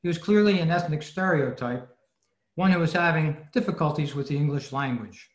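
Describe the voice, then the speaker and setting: A man's voice: fairly high pitch, brisk. A man reading a prepared talk as a presenter on a video call, in scripted narration. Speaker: old-time radio club presenter. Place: indoor room, video meeting. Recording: echoey room on video call.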